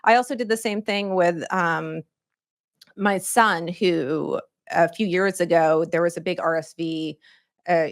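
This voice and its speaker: Woman, low voice, middle-aged, reflective storytelling, lots of ums, close dry mic. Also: fairly even intonation, unhurried, no echo. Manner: personal, reflective storytelling with frequent fillers. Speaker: panelist, journalist. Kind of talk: conference panel remarks. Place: conference room.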